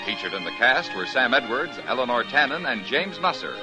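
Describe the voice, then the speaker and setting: A man's voice: mid-range voice, very animated, fairly quick. A man with an American accent announcing in scripted 1950s radio style. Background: music.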